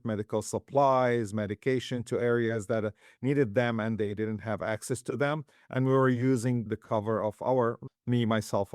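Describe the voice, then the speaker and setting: A man from Syria, a guest, recounting his humanitarian health work in calm, measured interview answers. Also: lowish voice, no echo, studio quality.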